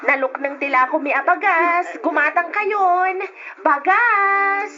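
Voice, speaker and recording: Casting: brisk, feisty market seller, very high voice, Ilocano accent, dull old radio sound. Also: female voice, over music. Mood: feisty, hawking. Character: feisty, brisk female rice vendor at market. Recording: muffled, noisy old radio drama recording.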